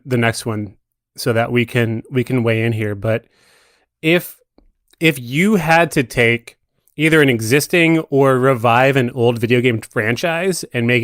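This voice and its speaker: A man's voice: medium pitch, no room sound, studio quality. A man co-hosting a podcast in casual chat, with a laid-back, joking manner. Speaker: podcast co-host.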